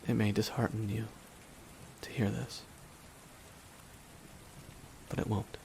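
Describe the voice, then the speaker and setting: Guy doing a tired bitter supervillain monologue, asmr roleplay, really slow w long pauses, rain going in the background. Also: lowish voice, gravelly. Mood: wounded, bitter. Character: bitter, weary male supervillain mourning his dying nemesis. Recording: steady rain noise behind the voice. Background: rain falling on surfaces.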